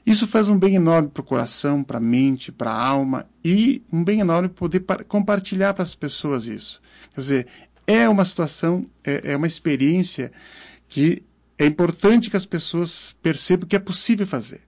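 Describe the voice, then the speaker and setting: A man in his fifties with a southern Brazilian accent, answering interview questions earnestly and haltingly, repeating words as he searches for phrasing. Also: a little high, expressive. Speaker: interview guest, organic farmer.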